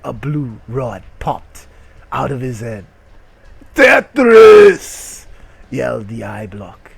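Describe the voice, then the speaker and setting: A man's voice: medium pitch, gravelly, a little mellow, slight background noise. A man hosting a comic dramatic reading of a short story, with mock-serious narration that can break into incredulous outbursts. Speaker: host reading stories.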